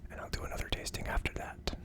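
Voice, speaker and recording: A person whispering in a hushed, unvoiced way, hosting a casual ASMR tasting review. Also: fast talker. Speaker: host of an ASMR whiskey tasting show.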